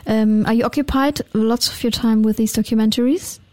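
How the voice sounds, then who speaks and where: A woman radio host with a German accent, interviewing a guest and moderating briskly between English and German. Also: a little high, steady tone, a little mellow, no echo. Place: radio studio.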